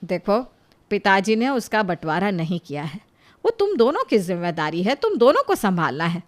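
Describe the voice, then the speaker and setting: A woman's voice: medium pitch, wildly swooping pitch, moderate pace, voice pushed down. A woman voicing a shrewd older mother-in-law, a family matriarch in a moral cartoon, coaxing yet authoritative. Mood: firm. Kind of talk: Hindi moral cartoon story acting.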